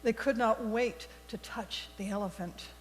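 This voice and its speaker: Unhurried woman storyteller in an echoey hall. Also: on the high side. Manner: deliberate, expressive storybook reading. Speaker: worship leader telling a story for all ages. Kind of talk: read-aloud storytelling in a church service. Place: large indoor congregation space. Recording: echoey hall with mains hum.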